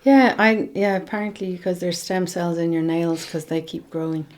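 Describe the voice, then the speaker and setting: An Irish woman with a slightly low-pitched voice, chatting casually as a co-host. She moves between languages and likes to question and tease. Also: fairly even intonation, moderate pace, darkish tone. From Ireland. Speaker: podcast co-host. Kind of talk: casual bilingual chat.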